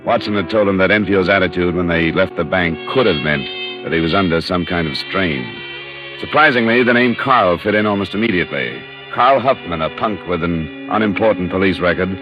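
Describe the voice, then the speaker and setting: An actor playing a steady, probing American insurance investigator in an old radio crime drama, speaking in terse, measured questions and dry narration. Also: male voice, low-pitched, lightly acted. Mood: matter-of-fact. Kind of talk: 1950s radio detective drama acting. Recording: muffled vintage radio recording.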